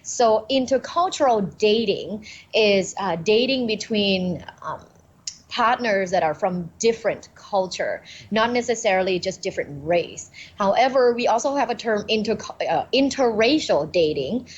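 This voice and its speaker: A woman's voice: mid-range voice, animated, a little slow, highs cut off. A woman from Thailand, a professor guest, cheerfully explaining ideas in an interview. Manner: cheerful, laughs easily, explains clearly.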